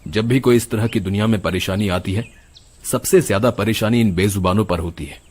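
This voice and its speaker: A man playing the stern, righteous, caring ghost of a dead doctor in an animated moral story, speaking in an earnest, scolding way. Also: on the low side, a little fast, breathy edge. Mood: sorrowful, concerned. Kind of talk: animated Hindi moral horror story acting. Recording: music behind the voice.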